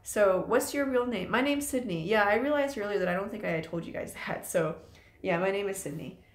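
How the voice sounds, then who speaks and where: Late 20s woman rambling on a live stream, lots of ums, middle pitch, kinda echoey room. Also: lively intonation, brisk. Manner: chatty, loose and rambling with many ums. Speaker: channel host. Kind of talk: casual live-stream chat. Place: room at home.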